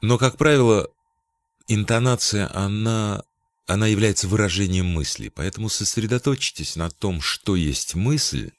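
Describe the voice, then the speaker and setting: A man from Belarus, a voice-over announcer, answering interview questions thoughtfully, pausing to search for words. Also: lowish voice, dry recording.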